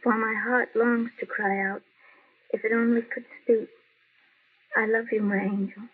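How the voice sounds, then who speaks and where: A woman's voice: a little high, little pitch movement, a little slow, slightly rough. An actress playing a worldly, tough yet lovelorn city newspaperwoman in a vintage radio drama. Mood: tender, sorrowful. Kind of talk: 1930s-40s radio drama acting. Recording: muffled, lo-fi old radio recording.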